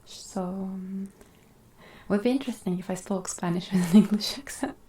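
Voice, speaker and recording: A woman whose first language is Russian, chatting casually with viewers on an ASMR stream, drifting between whispers and soft talk. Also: medium pitch, normal speed, roomy sound.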